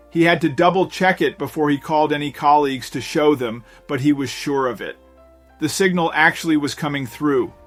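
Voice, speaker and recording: Higher-pitched male narrator, dramatic suspense storytelling. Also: fairly bright tone. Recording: voice-over with music underneath. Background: background music.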